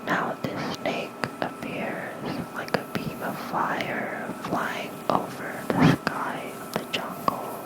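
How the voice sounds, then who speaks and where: A soft ASMR host reads mythology in a full whisper, with no voiced tone. The delivery is gentle and very unhurried, spoken close into a fluffy-covered mic for a bedtime listener. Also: low-quality recording. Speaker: ASMR host reading lore. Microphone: close fluffy-covered microphone.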